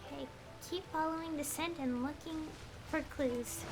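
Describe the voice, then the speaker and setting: Female game streamer, high-pitched voice, dramatic read-aloud of story text, faint music behind. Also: fairly even intonation, normal speed.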